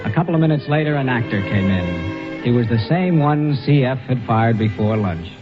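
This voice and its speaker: An actor playing a breezy, wisecracking Hollywood screenwriter who narrates in 1940s radio comedy style. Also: male voice, mid-range voice, lively intonation, normal speed, over music, natural voice. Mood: wry, storytelling. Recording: dull old radio recording.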